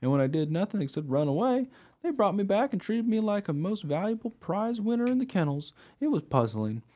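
A man's voice: high voice, sing-song, fairly quick, warm tone. A man playing an honest pet dog who tells his own tale in a radio play, earnest and plain-spoken. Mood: wry, puzzled. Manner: plain-spoken, artless storytelling. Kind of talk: first-person radio play narration.

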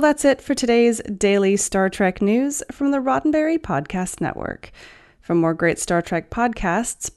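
A woman's voice: medium pitch, a little mellow. A woman hosting a podcast, reading scripted entertainment news. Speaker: podcast host and newsreader.

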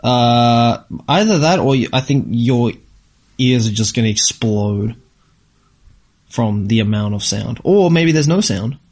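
A man's voice: medium pitch, expressive, a little slow, darkish tone. A man co-hosting a casual, unscripted chat, explaining things in an informal, conversational way. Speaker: co-host answering viewer questions.

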